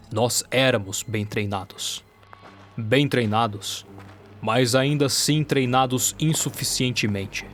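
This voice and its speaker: A man with a Brazilian accent giving dramatic first-person narration for an audio drama. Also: mid-range voice. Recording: music bed under the voice. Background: music.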